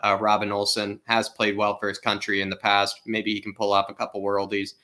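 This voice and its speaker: Flat, bright-toned man. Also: lowish voice, brisk. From United States. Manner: agreeable, rambling analysis. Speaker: co-host. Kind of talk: casual football chat.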